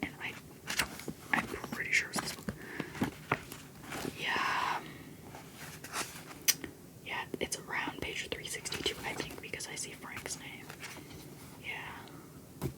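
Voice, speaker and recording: A woman's voice: takes it slowly, pauses often. A young woman whispering as she rambles and giggles about favorite books in a casual video. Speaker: video creator. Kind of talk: whispered book-talk ramble.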